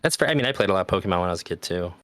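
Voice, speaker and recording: A man's voice: sits low, animated, rapid-fire, a bit bright, no room sound. A man in his thirties chatting casually, loose and talkative, swearing now and then. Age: 30s. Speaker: podcast host. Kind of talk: casual chat.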